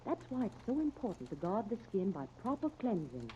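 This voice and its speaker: A woman's voice: medium pitch, very animated, a little fast, dark tone. A woman reading a scripted 1930s radio advertisement as spokeswoman, genteel, persuasive and carefully phrased. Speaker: commercial spokeswoman. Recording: tinny, hissy 1939 radio disc recording.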